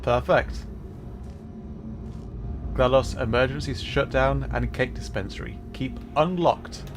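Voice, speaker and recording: A man casually commenting on a game as a streamer, relaxed and amused. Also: mid-range voice, slow pace. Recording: game music behind.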